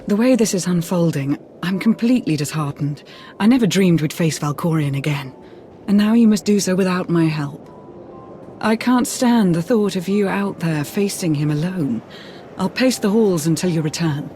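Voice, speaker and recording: An actress playing a devoted, earnest advisor in a video game, formal and measured. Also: female voice, fairly low pitch, lively intonation, dark tone, a little hiss or hum, lightly acted. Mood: worried. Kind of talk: video game cutscene acting.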